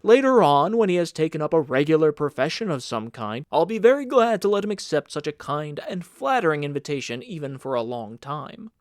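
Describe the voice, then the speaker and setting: A man voicing a firm, commanding uncle, animated delivery. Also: fairly high pitch, fairly quick, hardly any pauses, no room sound, studio quality. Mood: firm, curt. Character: stern, insistent uncle and guardian. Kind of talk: audiobook character dialogue.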